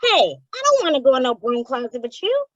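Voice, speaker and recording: A woman's voice: high-pitched, very animated, fairly quick, muffled recording, slight background noise. A woman playing a streetwise, pushy small-time informant in old-time radio gangster style, slangy, indignant and grumbling. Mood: grumbling reluctance.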